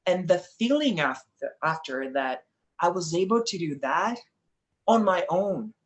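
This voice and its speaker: A man's voice: high voice, expressive, unhurried, reverberant, highs cut off. A young man from Brazil with a Brazilian accent, a guest speaking in an earnest, reflective conversational way and laughing easily. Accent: Brazilian Portuguese. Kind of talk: casual conversation.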